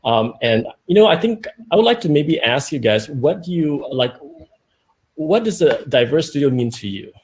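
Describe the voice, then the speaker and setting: A man moderating a panel discussion, speaking in a relaxed, rambling way with fillers. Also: fairly high pitch, normal speed, a little hiss or hum.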